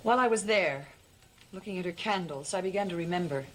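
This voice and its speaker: An actress playing a haunted, earnest heroine struggling with her memory in a gothic television soap, with intense, halting delivery. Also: on the low side, slight background noise, close to an everyday voice, poor audio. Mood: haunted, remembering.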